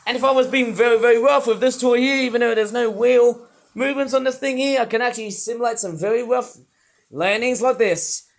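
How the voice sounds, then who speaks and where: A man reviewing toys in a rambling, playful vlog, his voice not fully well and breaking into sudden excited outbursts. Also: very high-pitched, a little fast, vocal fry. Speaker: toy reviewer. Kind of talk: casual toy review vlog.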